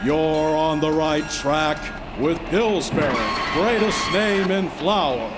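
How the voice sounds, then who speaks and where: A man's voice: fairly high pitch, slow, over music. A man reading a sponsor message in the style of 1940s radio advertising. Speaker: sponsor announcer.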